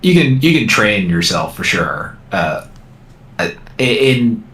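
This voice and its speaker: A man with a clear, easily understood voice, speaking as a guest in a casual interview, reserved and careful with his words. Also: on the low side, very animated, slow pace, fairly bright tone. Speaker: guest developer.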